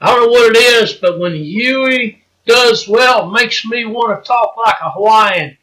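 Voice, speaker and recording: An excitable male wrestling commentator with a very high, bright voice. He draws his words out slowly and breaks into shouts at the big moments. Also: rough recording. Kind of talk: play-by-play commentary.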